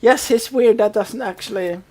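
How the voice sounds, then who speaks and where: Higher-pitched woman chatting casually. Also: normal speed, a little mellow. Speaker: podcast co-host. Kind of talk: casual TV recap chat.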